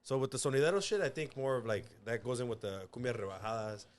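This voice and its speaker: A man's voice: medium pitch, animated, fast. A man chatting casually as a podcast guest and band member, laid-back, peppering his talk with swearing and "like". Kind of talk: casual chat.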